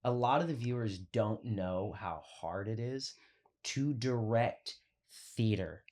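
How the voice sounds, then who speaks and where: A man's voice: fairly low pitch, slow. Joking man on a teacher podcast. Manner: joking, animated storytelling, says "dude" a lot. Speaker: co-host, teacher. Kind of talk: casual podcast chat.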